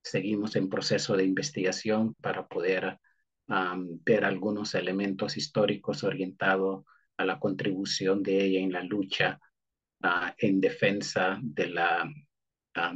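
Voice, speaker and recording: Un hombre hondureño cuya lengua materna es el garífuna cuenta en una entrevista una historia, con pausas, muletillas y frases que vuelve a empezar. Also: male voice, low voice, little pitch movement, slightly rough, muffled recording. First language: Garifuna. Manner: halting, many fillers and restarts. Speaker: guest historian. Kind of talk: interview answer, historical account.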